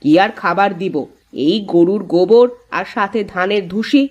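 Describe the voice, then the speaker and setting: A woman voicing a sensible, patient elder daughter-in-law in a village cartoon, measured and admonishing. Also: mid-range voice, lo-fi sound, close to an everyday voice. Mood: calm. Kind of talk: cartoon voice acting.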